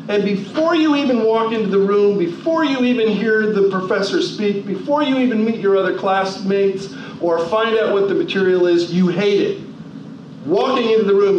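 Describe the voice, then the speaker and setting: A man in his forties from New Jersey, giving a motivational talk with emphatic rhetorical questions and shifting pace. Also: high-pitched. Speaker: motivational speaker. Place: large hall. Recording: echoey, distant, dull hall recording with noise.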